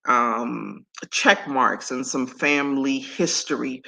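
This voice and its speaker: A middle-aged woman from Antigua with a deep voice, talking as a guest in an interview in an animated, emphatic way. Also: slow, gravelly, poor audio. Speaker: guest speaker and coach. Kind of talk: conversational interview.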